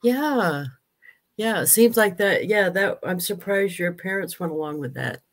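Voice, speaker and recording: A woman's voice: mid-range voice, lively intonation, unhurried. An older woman hosts a casual video-call interview and laughs easily. She speaks through a webcam from a home office lined with bookshelves. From United States. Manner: chatty, laughs often. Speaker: host.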